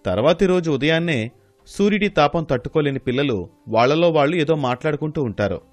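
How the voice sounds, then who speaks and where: A man's voice: fairly high pitch, animated, a little fast, no room sound, soft background music. A man reading scripted narration for a moral story.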